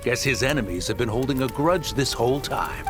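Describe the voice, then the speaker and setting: A man voicing a callous crime boss from a video game. His mid-pitched voice is dismissive and contemptuous, over faint music. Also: animated, a little fast, natural voice. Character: callous, scornful male crime boss and betrayer. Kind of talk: dramatic video game villain acting.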